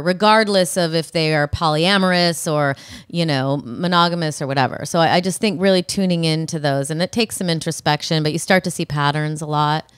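A woman's voice: on the low side, smooth, no room sound. A woman co-hosting a podcast, chatty and blunt, with lots of fillers, talking into a handheld mic in a living room studio. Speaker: podcast co-host. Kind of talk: casual advice chat. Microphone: handheld mic, headphones.

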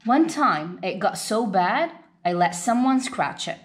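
A woman reading a children's picture book aloud in an expressive storytelling style. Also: medium pitch, measured pace. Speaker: storybook reader.

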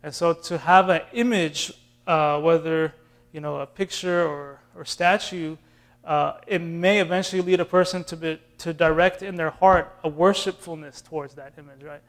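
A man in his thirties from Austin, Texas, an imam answering questions in a relaxed, classroom way with fillers and restarts, filmed by a far camera in a community center room. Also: fairly high pitch, normal speed. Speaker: imam teaching an intro class.

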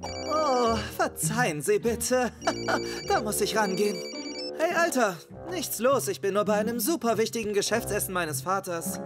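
An actor voicing a breezy, self-important teenager in an animated cartoon, casual and slangy. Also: medium pitch, a little slow, natural voice. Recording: loud cartoon music behind.

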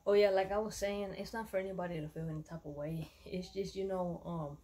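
A woman's voice: lowish voice, fairly even intonation, fairly quick, warm tone. A woman in her thirties from Dallas, Texas, chatting casually at home to her followers in a laid-back, slangy, rambling way. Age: 30s. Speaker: vlogger. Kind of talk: casual vlog chat to followers.

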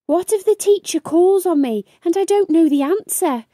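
A woman's voice: extremely high pitch, expressive, normal speed, mellow, no echo, clean studio sound, voice pushed up. A woman voicing an anxious young male beaver in a children's storybook, expressively fretting and chanting to himself for courage. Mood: worried. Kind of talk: children's storybook read-aloud.

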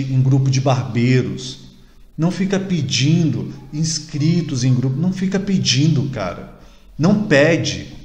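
A man's voice: a little high, a little mellow. A man in his thirties with a Brazilian accent giving an informal, emphatic instructional talk, full of questions and repetition. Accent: Brazilian Portuguese. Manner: conversational, emphatic, uses rhetorical questions and repetition. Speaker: marketing speaker for barbers. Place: small home room, indoors. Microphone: desk microphone near webcam. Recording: roomy echo.

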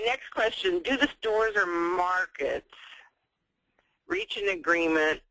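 A man's voice: on the high side, a bit bright, no room sound, low-quality recording. A man moderating a webinar, reading out audience questions and adding brief acknowledgments.

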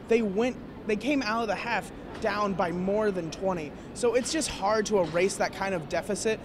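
A young man with a fairly high voice breaks down a basketball game in earnest detail. He speaks into a handheld mic courtside in a big arena, with clear noise behind him. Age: young adult. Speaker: student sports analyst. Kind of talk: postgame basketball recap. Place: courtside in a large indoor arena.

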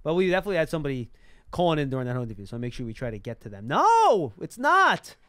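A man's voice: fairly high pitch, huge pitch swings, racing, a bit bright, smooth, dry recording. A man hosting a casual live sports talk show, close on a studio mic. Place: small indoor studio. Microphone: close broadcast boom mic.

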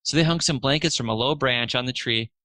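A man's voice: mid-range voice, a little fast, sharp tone, no room sound. A man narrating a children's storybook aloud, expressively lifting his voice for the dialogue.

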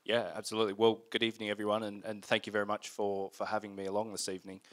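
A middle-aged man speaking as a guest in an on-stage interview, phrasing things in a measured, hesitant way with asides, into a handheld microphone. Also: lowish voice, completely flat delivery, a bit bright. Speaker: guest, company founder. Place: indoor event stage in front of a screen.

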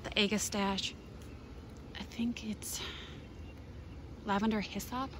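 A woman from Minnesota gives an eager, chatty tour of her outdoor garden, pointing things out as she goes. Her voice sits at an ordinary middle pitch, recorded on a handheld phone. Faint birdsong and outdoor air sit softly behind her. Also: steady tone, slow, background noise. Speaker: gardening vlogger.

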